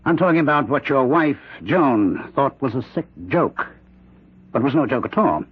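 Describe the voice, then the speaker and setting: An actor playing a taunting, theatrical prisoner possessed by Jack the Ripper's spirit, in 1970s radio drama style. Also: male voice, medium pitch, animated, moderate pace, breathy edge. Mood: taunting menace. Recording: dull lo-fi old radio recording.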